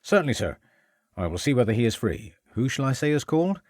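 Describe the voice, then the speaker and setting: A man's voice: medium pitch, sing-song, quick pace, darkish tone, no room sound, studio quality. A man voicing an elderly, suspicious and polite male porter. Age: older.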